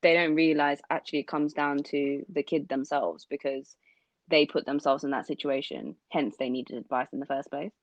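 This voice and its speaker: A woman's voice: low voice, fairly even intonation, moderate pace, dry recording. A young woman from London co-hosting a podcast, chatting casually and agreeing often. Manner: agreeable, frequent "mm-hmm" backchannels. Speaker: podcast co-host. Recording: dull dry lo-fi sound.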